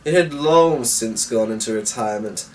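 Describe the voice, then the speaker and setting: A man giving a measured, theatrical dramatic reading of a short story as a storyteller. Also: fairly low pitch, far from the mic.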